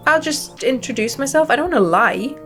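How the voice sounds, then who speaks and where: A woman hosting a gaming video, reading the story aloud and commenting in a casual, expressive manner. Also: sits high, moderate pace. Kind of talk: playthrough commentary and read-aloud narration. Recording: music behind the voice. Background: music.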